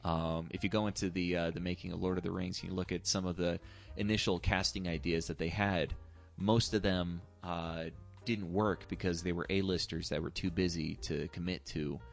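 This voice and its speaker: A man's voice: low-pitched, steady tone, moderate pace, creaky, hardly any pauses, soft background music. A man talking casually about film as a co-host. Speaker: podcast co-host discussing film.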